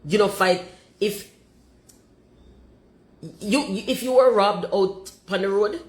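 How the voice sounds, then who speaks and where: A Jamaican woman with a deep voice, talking forthrightly and emphatically and slipping into patois. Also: slow, echoey room. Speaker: commentator.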